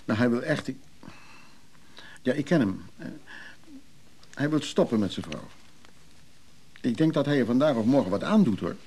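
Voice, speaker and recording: Sly go-between, radio drama: dark, gravelly tone, dry, casual, cool. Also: male voice, medium pitch, animated, moderate pace, pauses often. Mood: persuasive. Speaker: actor in a radio play. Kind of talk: naturalistic Dutch radio drama dialogue.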